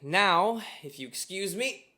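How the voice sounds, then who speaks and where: An actor playing an apologetic grown son and brother in a radio play. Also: male voice, high voice, animated, takes it slowly, pushed and bright, full character voice. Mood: apologetic, resigned. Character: adult brother, apologetic son covering for mother.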